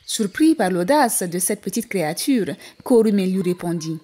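A woman storyteller reading a scripted African folktale for an animated story. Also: medium pitch, moderate pace. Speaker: storyteller, narrator. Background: music.

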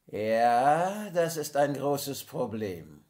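A man playing a gruff, slow-witted kidnapper in an amateur audio drama, talking in a plodding way. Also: medium pitch, big pitch swings, darkish tone. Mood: baffled. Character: gruff male kidnapper, impatient, slow-witted, easily baffled.

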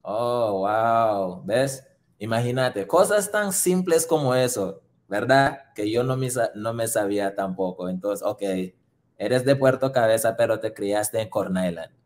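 A young man hosting a casual interview over a webcam, asking relaxed questions with English fillers mixed in. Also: fairly low pitch, unhurried. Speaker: host, interviewer. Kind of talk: casual video-call interview. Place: room indoors.

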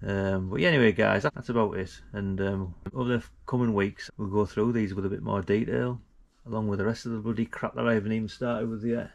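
A man's voice: fairly low pitch, fairly even intonation, fairly quick, gravelly. A middle-aged man, chatting casually and informally as he hosts a vlog. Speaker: vlog host.

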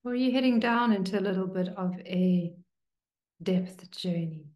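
A woman meditation teacher leading a guided meditation in a hushed, soothing manner, leaving long pauses between short phrases. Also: fairly low pitch. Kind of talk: guided meditation, slow and spoken to listeners. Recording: roomy, echoing sound.

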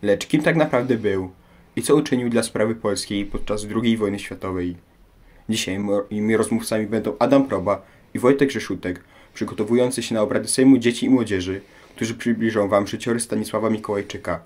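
A man's voice: lowish voice, fairly quick, a little mellow. A young man presenting a scripted interview as host, in a formal, rhetorical manner. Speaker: radio programme host.

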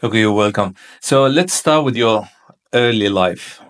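Thirties male host; encouraging and upbeat, slightly low voice, studio boom mic. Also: lively intonation, a little slow. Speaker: podcast host. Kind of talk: podcast interview. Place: studio with podcast set. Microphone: boom mic on arm.

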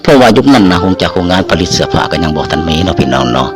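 A man's voice: sits low, very animated, racing. A man with an Isan accent narrating a comic folk tale in a folksy way, often addressing listeners directly. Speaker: storyteller. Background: music.

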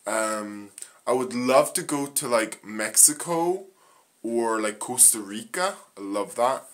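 A young man talks into a phone held at arm's length in a small living room. He moves slowly and haltingly through a list of facts about himself, filling gaps with ums and uhs. His voice sits at a middling pitch. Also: fairly bright tone. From Northern Ireland. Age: young adult. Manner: halting, frequent ums and uhs, slow and plain. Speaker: vlogger. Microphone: phone camera at arm's length.

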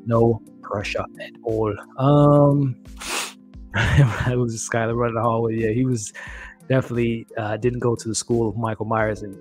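Man, 30s, live-stream host, chatty, upbeat, close desk mic, small room, faint background music. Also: medium pitch. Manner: chatty, upbeat, laughs often, thanks viewers. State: losing his voice. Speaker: livestream host. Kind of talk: casual live-stream chat reading comments. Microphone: studio mic on desk, close.

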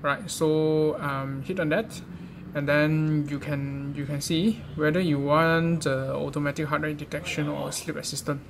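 A man with a fairly high, gravelly voice walks through an informal how-to guide. He repeats himself hesitantly as he instructs, and there is clear noise in the amateur recording. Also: fairly even intonation, measured pace. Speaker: presenter of a gadget how-to guide. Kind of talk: informal step-by-step tutorial narration.

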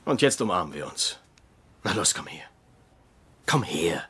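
An actor in a dubbed TV comedy playing a gruff, biting middle-aged doctor who mentors an intern. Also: male voice, low-pitched, big pitch swings, measured pace, pushed and bright, exaggerated voice. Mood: scornful. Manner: biting, insulting, ranting. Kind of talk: German dubbed TV comedy acting.

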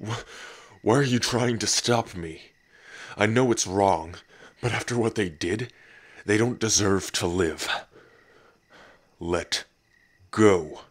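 A man voicing a gruff, protective, hot-tempered werewolf lover in an intimate ASMR roleplay, with a brooding, guarded manner. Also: fairly low pitch, slow, pauses often, no room sound. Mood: urgent, tormented. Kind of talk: ASMR boyfriend roleplay audio drama.

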